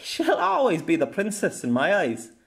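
A man's voice: high voice, wildly swooping pitch, moderate pace, vocal fry, fairly bright tone, pitched above the performer's own voice, exaggerated voice. A man voicing a jovial, teasing veteran swordmaster in a fantasy audiobook. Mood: merry. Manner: playful, teasing.

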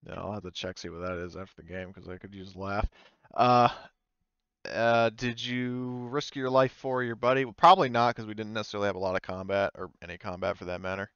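A man's voice: on the low side, steady tone, brisk, a bit bright, clean tone, no echo, muffled recording. Male game master, clean resonant voice, casual delivery at the table, close and dry.

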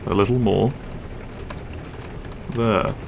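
A man playing a grumpy but tender half-dragon roommate in an intimate ASMR roleplay, gruff, sleepy and halting in a soft voice. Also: on the low side, fairly even intonation, background noise, heavily acted. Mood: grumpy, reluctant. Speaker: roleplay voice actor. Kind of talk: intimate ASMR audio roleplay, comfort scene.

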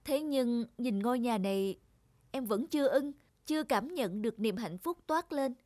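A woman's voice: a little high, expressive, moderate pace, darkish tone, dry recording. A woman voicing an earnest, polite young male architecture student in a cartoon moral tale, with neat enunciation. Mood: troubled, polite. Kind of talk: animated moral tale dubbing.

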